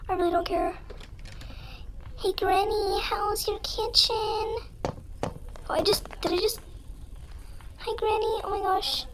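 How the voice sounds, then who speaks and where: A very high-pitched, youthful voice with a voice-changer effect gives casual game commentary. The delivery is slow-paced but dramatic and playful, poking fun at itself. There is background noise behind the voice. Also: steady tone, fairly bright tone. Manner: dramatic, self-deprecating, playful. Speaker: gaming video creator.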